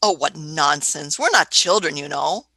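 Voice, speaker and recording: A woman's voice: sits low, lively intonation, unhurried, creaky, pushed and bright, breathy edge, no echo, heavily acted. A woman in a radio play acting an eccentric, imperious older time traveller who is fussy, hesitant and repeats her phrases. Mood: fussy, indignant.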